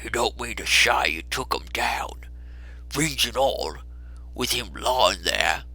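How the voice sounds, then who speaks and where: Man acting a sharp-edged, sly old fence. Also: medium pitch, lively intonation, slow, creaky, exaggerated voice. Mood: incredulous. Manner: wheedling, knowing, haggling. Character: sly old male pawnbroker of stolen goods. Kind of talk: dramatised Victorian storybook reading.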